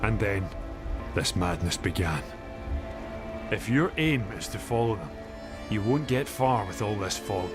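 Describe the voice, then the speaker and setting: An actor playing a gruff, weary, plain-spoken rustic villager in a video game. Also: male voice, lowish voice, lively intonation. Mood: gruff, wary. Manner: gruff, unhurried, salty. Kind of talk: dramatic video game acting. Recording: music and rain behind.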